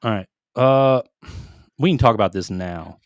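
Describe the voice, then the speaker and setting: A man's voice: on the low side, measured pace, no echo, studio quality. Man reading gaming news on a podcast. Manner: reads headlines aloud with asides and stumbles on names. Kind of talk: casual gaming podcast chat.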